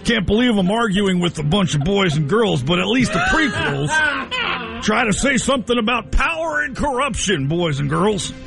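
Brief: grown man as a lively, sing-song boy lecturing his peers. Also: sits high, normal speed, creaky, over music, exaggerated voice. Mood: insistent. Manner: lively, teasing, keeps saying "boys and girls". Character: grown man playing a preachy boy debater.

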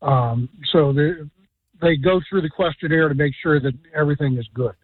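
A man calls in to a radio talk show and rambles through his account in halting phrases full of fillers. His voice sits a little high for a man and comes through a dry, tinny phone line. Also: husky, dry recording. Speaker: caller. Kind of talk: phone-in chat. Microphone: telephone.

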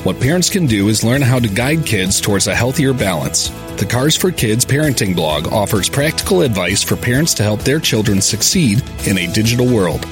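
A man's voice: on the low side, expressive, moderate pace, music in the background. Earnest man reading a public service spot. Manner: earnest, reassuring.

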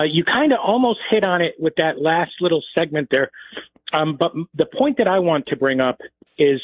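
A man calling in to a radio show, speaking hesitantly with frequent ums over a phone line. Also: on the high side. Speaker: caller.

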